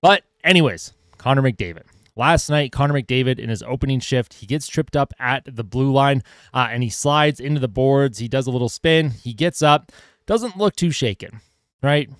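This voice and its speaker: Male podcast host, mid pitch, smooth clear tone, dry close sound, casual opinionated sports talk with fillers, slightly hoarse. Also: expressive, moderate pace, a bit bright, no echo. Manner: conversational, filler-heavy, opinionated. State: voice going hoarse. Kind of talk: solo sports commentary podcast.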